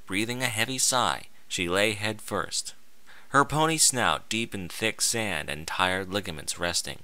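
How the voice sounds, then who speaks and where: Man, story narrator, lowish voice, swooping intonation, theatrical delivery, very dry close sound. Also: sharp tone, dry recording. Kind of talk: dramatic scripted story narration.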